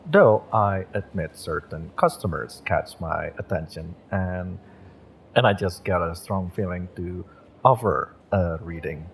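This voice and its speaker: Man playing a slow, low-voiced gentle witch shopkeeper. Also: a little hiss or hum. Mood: inviting, courteous. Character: gentle, courteous male witch, magick shop owner and tarot reader. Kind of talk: roleplay audio, acted monologue to the listener.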